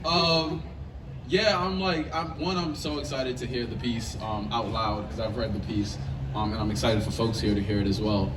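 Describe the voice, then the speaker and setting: A man hosting a casual live interview, speaking in a halting, searching way and repeating his phrases. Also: mid-range voice, fairly even intonation, crowd noise. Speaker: podcast host, interviewer. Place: live event space with audience. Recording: echoey, noisy room, distant mic.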